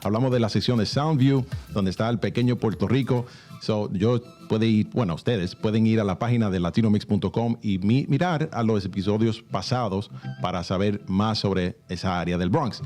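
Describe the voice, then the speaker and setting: A man's voice: lowish voice, quick pace, darkish tone, soft background music. A man hosting a radio show, chatting casually and switching between English and Spanish with upbeat energy. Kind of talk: casual bilingual radio talk. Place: radio studio.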